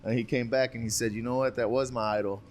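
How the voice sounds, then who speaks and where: A man's voice: mid-range voice, fairly even intonation, quick pace. A man co-hosting a podcast in casual chat, argumentative and swearing often. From United States. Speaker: podcast co-host. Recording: background noise.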